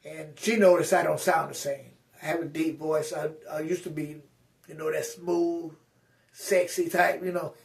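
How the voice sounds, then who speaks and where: A man's voice: on the high side, steady tone, normal speed, vocal fry, distant mic. A man vlogging a casual personal update to his viewers, with slurred speech and a rambling, straight-talking way of speaking full of fillers and restarts.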